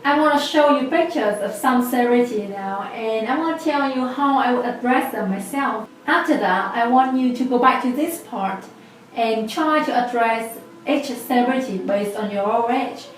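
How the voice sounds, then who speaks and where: A woman's voice: fairly high pitch, moderate pace, heavy reverb, far from the mic, low-quality recording. A woman in her twenties with a Vietnamese accent, patiently explaining a language lesson step by step. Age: 20s. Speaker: language teacher.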